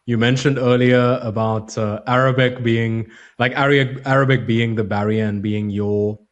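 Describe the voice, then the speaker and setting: A man hosting a podcast, asking questions in a relaxed, curious way. His voice sits at a middle pitch and sounds like ordinary conversation. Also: normal speed, muffled recording. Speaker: podcast host.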